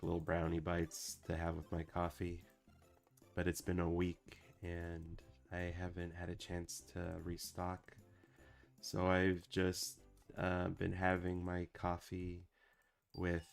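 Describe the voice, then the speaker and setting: A man's voice: sits low, vocal fry, fairly bright tone. A man, an animator and channel producer, chatting casually on a stream and reading comments, rambling with lots of fillers and restarts. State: recovering from a week of sickness.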